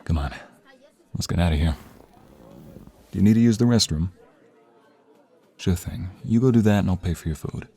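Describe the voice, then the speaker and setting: A low, slightly rough-edged voice of a man acting out a protective young mobster in a romantic audio drama. He speaks intimately and earnestly to his lover, with menace ready for anyone who threatens them. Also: normal speed, long pauses, warm tone. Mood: tender, worried.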